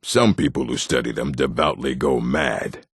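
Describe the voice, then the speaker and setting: An actor playing a gruff, scornful male orc in a fantasy video game, with a rough voice and a weighty, emphatic delivery. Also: normal speed, no room sound, clean studio sound, full character voice. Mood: grave, explanatory. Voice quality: deep, gravelly, rough.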